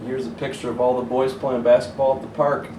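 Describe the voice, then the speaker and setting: A man reading a children's picture book aloud as a coach would, pausing to point out the pictures. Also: medium pitch, level tone. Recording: roomy, distant mic, faint hiss.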